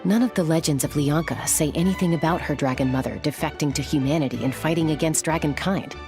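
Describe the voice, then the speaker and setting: An actress playing a matter-of-fact teller of old legends in a video game, measured in delivery. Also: female voice, deep voice, over music. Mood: skeptical.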